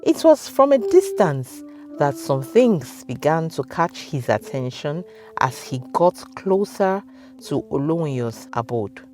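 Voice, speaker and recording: A woman with a Nigerian accent narrating a scripted folktale as a storyteller, with dramatic, deliberate phrasing. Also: low-pitched, sing-song, over music.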